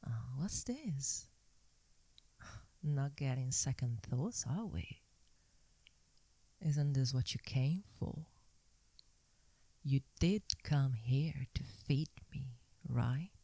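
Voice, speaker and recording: A woman playing a seductive, dominant vampire mistress in an ASMR hypnosis roleplay, whispering slowly and breathily with a teasing, coaxing, commanding manner. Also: very deep voice, lively intonation, warm tone, slightly rough, no echo, full character voice. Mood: teasing, sultry. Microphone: close microphone in a dry space.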